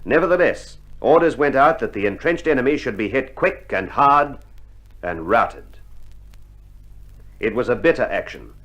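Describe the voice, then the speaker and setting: An actor playing a war correspondent, narrating an old radio docudrama with grave authority. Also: male voice, mid-range voice, expressive, moderate pace, long pauses, a bit bright, lightly acted, low-quality recording. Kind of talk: 1960s radio documentary-drama narration. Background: music.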